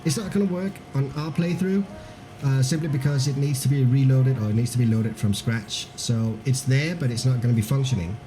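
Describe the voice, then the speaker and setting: A man's voice: mid-range voice, a little fast, mellow, slight background noise. A Danish man with a mixed accent that is hard to place, streaming a game live and chatting loosely with viewers, full of fillers and restarts. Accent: mixed, hard to place, with a Danish base. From Denmark. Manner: reads viewer comments aloud and laughs easily.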